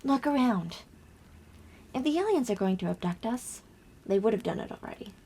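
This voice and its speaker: A woman playing a gentle young girl on a camping trip in an ASMR roleplay, speaking softly and breathily with hushed, dramatic pauses. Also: a little high, animated. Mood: soft, teasing. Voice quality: soft, breathy whisper. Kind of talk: ASMR roleplay, soft whispered storytelling.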